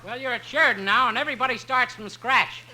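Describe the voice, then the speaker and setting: An actor playing a bossy, strict college football coach in a barking, commanding manner, in 1950s radio comedy style. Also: male voice, very high-pitched, fast talker, heavy background noise. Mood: bossy, stern.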